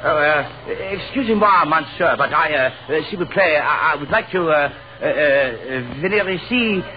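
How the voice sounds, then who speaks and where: An actor playing an affable, awkward Australian lieutenant with a halting, slangy manner and an Australian accent, in 1930s radio drama style. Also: male voice, on the high side, expressive, a little hiss or hum, natural voice. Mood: flustered. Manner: halting, jovial, peppered with soldier slang. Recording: muffled 1930s radio recording with music.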